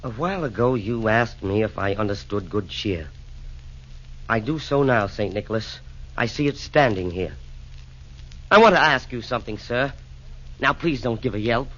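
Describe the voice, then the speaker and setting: A meek, repentant Roman emperor in a 1940s radio play. The voice is husky yet tense and bright, speaking humble, theatrical verse. The vintage broadcast sound is dull. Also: male voice, medium pitch, animated, moderate pace, long pauses. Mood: humbly eager. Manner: hesitant, humble, rhyming theatrical delivery.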